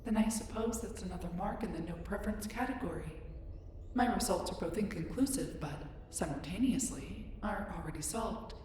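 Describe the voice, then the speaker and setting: A woman's voice: medium pitch, normal speed, far from the mic, lightly acted. Woman acting as a polite alien woman, inquisitive, formal and reserved, audio drama, very echoey, noisy background ambience. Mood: curious, polite. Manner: formal, precise, inquisitive, emotionally reserved phrasing. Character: curious, polite, emotionally flat young adult female alien. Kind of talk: scripted roleplay audio drama addressed to listener.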